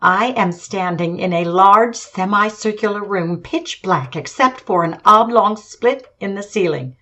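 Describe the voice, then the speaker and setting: A woman's voice: mid-range voice, measured pace, pushed and bright. Woman playing a poised radio field commentator. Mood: poised, descriptive. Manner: formal, descriptive, courteous. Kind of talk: 1930s radio drama live commentary.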